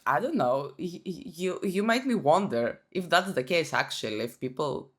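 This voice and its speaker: A woman's voice: low voice, animated, moderate pace. A young woman with a Greek accent answering viewer questions in a casual, chatty vlog, often restarting her sentences. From Greece. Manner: chatty, self-conscious, with frequent restarts and fillers. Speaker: YouTuber. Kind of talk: casual Q&A chat.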